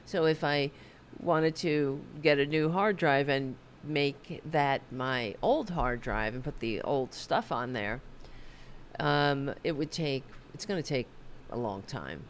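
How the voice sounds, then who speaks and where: A woman's voice: extremely low pitch, slow, a little hiss or hum. Chatty woman host losing her voice. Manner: rambling, chatty, self-deprecating, swears and corrects herself. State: losing her voice, a little sick. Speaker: host of a political talk livestream. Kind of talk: casual livestream chat. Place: home office.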